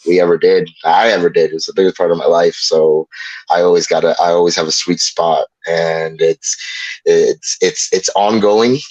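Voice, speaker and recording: A man from Puerto Rico chatting casually as an interview guest, telling stories in an animated way with quoted asides. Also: sits low, moderate pace, no room sound, low-quality recording.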